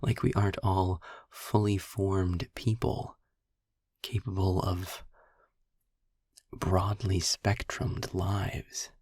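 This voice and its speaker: A man playing a sarcastic, philosophical demon in an intimate audio roleplay, speaking deliberately in a husky, breathy half-whisper with a frustrated but principled air. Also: low-pitched, little pitch movement, darkish tone, no echo, exaggerated voice. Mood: earnest, weary. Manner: sardonic, deliberate, ranting with pauses and sighs.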